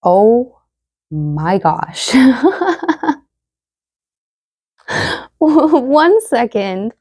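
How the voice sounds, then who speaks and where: Casual tarot reader, young woman, mellow slightly hoarse voice, dry close sound. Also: fairly high pitch, very animated, very slow, no room sound. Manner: chatty, many fillers, reads card messages aloud. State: losing her voice, slightly hoarse. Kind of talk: casual tarot reading to viewers.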